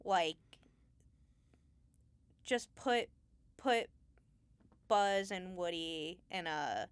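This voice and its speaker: A woman's voice: on the low side, lively intonation, extremely slow, clear, resonant tone, dry recording. A woman talking casually and informally as the guest in an interview about her craft. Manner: giggly, informal. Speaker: Foley artist, interview guest.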